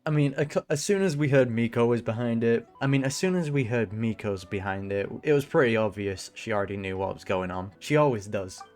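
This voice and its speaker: A woman game streamer with an extremely low voice. She comments casually on what she is playing in informal, slangy speech. Faint music runs underneath. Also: fairly quick, hardly any pauses, a little mellow. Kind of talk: casual reaction commentary over gameplay.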